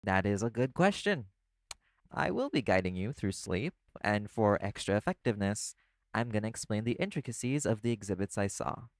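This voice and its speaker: A man playing a laid-back, caring vampire in an audio roleplay, talking the listener toward sleep in a casual, gently instructive way. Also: lowish voice, lively intonation, moderate pace, no room sound. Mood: wry, explaining. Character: laid-back male vampire companion, teasing yet caring.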